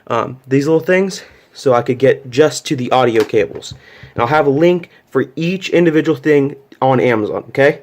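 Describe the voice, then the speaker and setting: A man giving a casual, unscripted hands-on tech tutorial, explaining step by step and often repeating himself. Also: medium pitch. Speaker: tutorial presenter.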